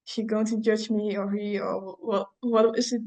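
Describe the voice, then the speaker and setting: A woman's voice: medium pitch, level tone, normal speed, a little mellow, poor audio. A young woman from the Netherlands with a Dutch accent, chatting casually as a video host. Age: young adult. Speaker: YouTube host and trader.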